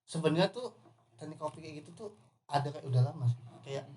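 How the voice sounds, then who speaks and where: A young man from Indonesia, a barista guest, talking in a relaxed, rambling way with restarts in a casual podcast chat. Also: a little high, fast talker, slight background noise. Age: young adult.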